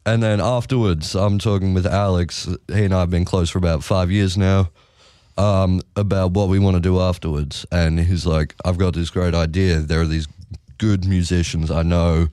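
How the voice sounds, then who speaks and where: Very deep-voiced teen musician. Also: male voice, steady tone, moderate pace, warm tone, clean tone, no echo, studio quality. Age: teenager. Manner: easygoing, hesitant with frequent uhs. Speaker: guest musician. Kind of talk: casual interview answers.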